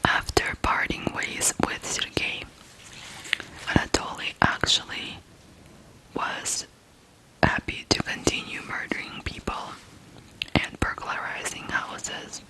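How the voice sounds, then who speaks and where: A person from Ukraine telling stories in whispered ASMR style, softly and deliberately, pausing between short phrases. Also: rough recording. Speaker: ASMR true-crime host.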